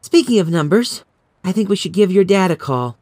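An uneasy, weary mom in a horror audiobook, voiced by a man. The voice is lifted higher and sits close in a dry recording. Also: animated, moderate pace, darkish tone, no echo. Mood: uneasy, soothing. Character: uneasy, weary suburban mother in a horror story. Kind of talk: audiobook character voice.

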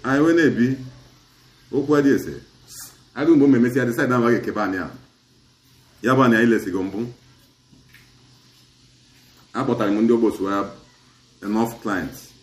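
A man's voice: medium pitch, a little fast, long pauses, roomy sound. A middle-aged man with an Asaba Igbo accent, recounting a community dispute as a chief in an interview and switching between Igbo and English. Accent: Nigerian, Asaba Igbo. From Nigeria. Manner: mixes Igbo and English in long recounting turns.

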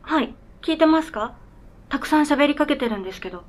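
A woman's voice: sits high, expressive, fast. A woman playing an earnest, polite talking snowmobile in a radio drama, speaking formally and matter-of-factly. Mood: deadpan.

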